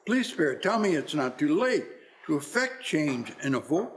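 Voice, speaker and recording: A man's voice: on the high side, very animated, normal speed, slight background noise. A man playing an anxious, repentant old miser, pleading theatrically in a radio play. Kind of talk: stage radio play acting.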